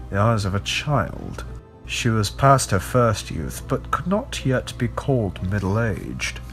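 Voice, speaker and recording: Deep, slow-paced man narrating gothic horror. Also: a bit bright. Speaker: horror story narrator and host.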